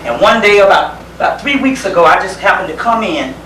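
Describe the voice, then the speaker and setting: A man from South Carolina with a Southern US accent, giving an informal lecture in a folksy, conversational manner with pauses and repeated phrases. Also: sits high, expressive, sharp tone. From South Carolina, United States. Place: indoor lecture gathering. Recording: roomy, distant microphone, faint background noise.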